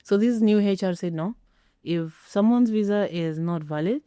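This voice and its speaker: A middle-aged woman telling a personal story as an interview guest, speaking emphatically with pauses for effect. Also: mid-range voice, mellow, clean tone, no room sound, studio quality. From Kenya. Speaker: interview guest, former air traffic controller.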